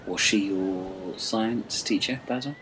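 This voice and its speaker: Man acting smooth-talking castaway, deep, measured, mock-formal, comedy audio drama, noisy ambience. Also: fairly bright tone. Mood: curious. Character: inquisitive, smooth-talking male castaway and negotiator.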